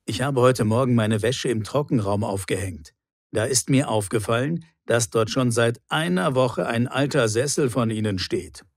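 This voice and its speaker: A man's voice: mid-range voice, moderate pace, close to an everyday voice. A man playing a fault-finding, formal neighbour in a scripted language-lesson dialogue. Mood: annoyed. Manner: reproachful, formal. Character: grumbling, fault-finding male neighbour in an apartment house.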